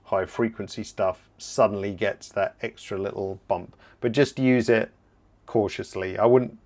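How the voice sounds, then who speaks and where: A man hosting a live photo-editing software tutorial, explaining steps as he works and answering viewer questions, slightly hoarse and clearing his throat now and then. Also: lowish voice, unhurried. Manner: relaxed, explanatory, thinking aloud while working. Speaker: software trainer hosting a livestream.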